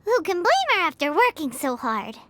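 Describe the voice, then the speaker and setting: A woman voicing a tiny, chirpy, excitable fairy girl companion with a childlike manner in a video game. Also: extremely high pitch, normal speed, no echo, full character voice. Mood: curious. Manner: childlike, speaks of herself in third person.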